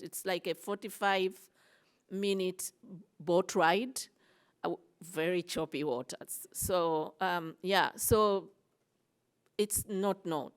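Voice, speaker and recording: A woman answering audience questions at a conference session, hesitant, with many fillers and restarts. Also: fairly low pitch. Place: conference breakout room.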